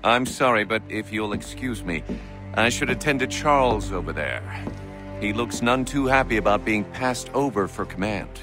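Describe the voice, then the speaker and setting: A man's voice: lowish voice, lively intonation, normal speed, natural voice. A man playing a gracious army commander who speaks politely and genteelly in a video game cutscene. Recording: music clearly behind the voice.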